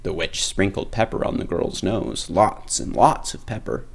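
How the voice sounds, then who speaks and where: A man reading a children's picture book aloud as a storyteller, with dramatic, drawn-out emphasis. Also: deep voice, gravelly, husky.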